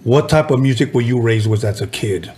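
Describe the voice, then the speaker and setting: A middle-aged man from Southern California hosting a podcast, asking questions in a relaxed, conversational way. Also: medium pitch, fairly quick. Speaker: podcast host. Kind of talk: casual podcast interview.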